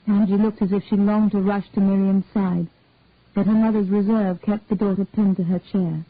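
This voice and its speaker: A woman reads a story aloud in a calm, measured narrating voice. Her voice sits at an ordinary middle height. The recording is dry and thin, like a phone line. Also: medium pitch, no room sound. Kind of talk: audiobook-style story reading. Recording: dry, tinny phone-like sound.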